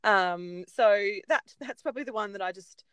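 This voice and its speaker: A woman's voice: mid-range voice, normal speed, a bit bright, smooth, dry recording. Australian woman, thirties, chatty storyteller, frequent ums, dry close sound. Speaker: guest. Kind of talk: casual chat.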